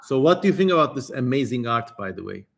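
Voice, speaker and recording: A man's voice: mid-range voice, sing-song, quick pace, vocal fry. A man hosting a casual livestream, reading aloud and commenting in a wry, playfully theatrical way. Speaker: livestream host.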